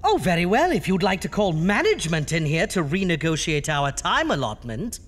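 An actress playing a wickedly gleeful, imperious horror hostess of a radio show, with arch, theatrical delivery. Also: female voice, on the low side, sing-song, noisy background. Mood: stern, impatient. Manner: theatrical, arch, drawn-out words.

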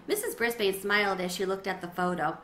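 Bright-voiced woman reading a children's book. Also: medium pitch, brisk. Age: middle-aged. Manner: animated in dialogue, clear storytelling phrasing. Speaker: read-aloud storyteller. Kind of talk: expressive children's book read-aloud. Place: small windowed sunroom at home. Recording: roomy echo, faint background noise.

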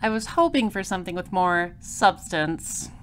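A woman playing a dry, inquisitive space detective in an audio drama, speaking formally and deliberately. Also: lowish voice, expressive, slight background noise, lightly acted. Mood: dry, probing. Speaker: voice actor. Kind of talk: audio drama dialogue and first-person noir narration.

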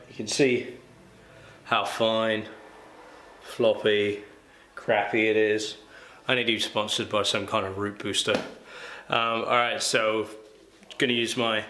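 A man's voice: medium pitch, fairly bright tone, slight background noise. A young man, reviewing a hair product in a casual, chatty vlog with plenty of ums and uhs. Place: small room indoors. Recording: echoey room with faint ambience.

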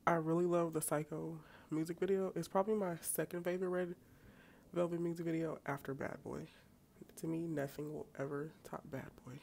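A woman's voice: deep voice, steady tone, fairly quick, vocal fry, darkish tone, a little hiss or hum. A young woman with a congested, stuffy voice, chatting casually through a makeup tutorial with self-mocking asides. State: congested from a cold. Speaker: beauty vlogger. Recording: faint background music.

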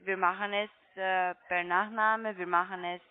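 A woman's voice: mid-range voice, fairly even intonation, normal speed, clean tone, no echo. A non-native woman with a foreign accent, speaking politely and hesitantly as a call centre sales agent on a tinny phone line. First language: not German.

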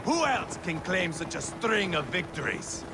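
A cruel Viking warlord in a video game, gloats in a high, gravelly voice. He drags his words out slowly, mocking his foes. Game music plays clearly behind him. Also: sing-song, very noisy, exaggerated voice. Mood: boastful. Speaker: villain.

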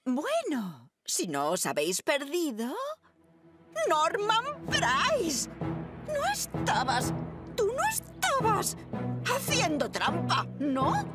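A high-voiced, bossy mother from a children's cartoon. She is suspicious and emphatic, stretching her words slowly, with loud music behind her. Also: wildly swooping pitch, full character voice. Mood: scolding. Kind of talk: dubbed children's cartoon acting.

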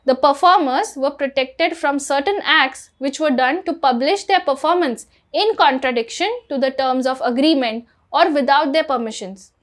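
Young woman, high voice, steady scripted law lesson, close to camera. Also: normal speed, few pauses. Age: young adult. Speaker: law lecturer. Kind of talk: scripted educational explainer.

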